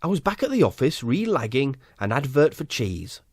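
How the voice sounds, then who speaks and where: A man's voice: medium pitch, very animated, moderate pace, a little mellow, no room sound. A man from Halifax telling an absurd comic story in a dry, mock-serious way. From Halifax, West Yorkshire. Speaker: podcast host. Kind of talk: scripted absurd comic narration.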